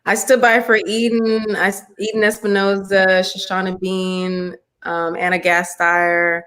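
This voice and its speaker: A woman with a low alto speaking voice, chatting as a guest on a video call, bubbly and laughing often. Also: little pitch movement, a little slow, a bit bright. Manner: bubbly, laughs often, says honey and y'all. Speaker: guest performer. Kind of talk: casual video call chat.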